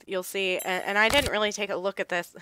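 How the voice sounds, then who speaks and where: A woman's voice: mid-range voice, steady tone, fairly quick, a little hiss or hum. A woman with a Midwestern accent chatting loosely over a video game, full of fillers and restarts. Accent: Midwestern American. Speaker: gaming streamer. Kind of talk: casual gameplay commentary. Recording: loud game music behind.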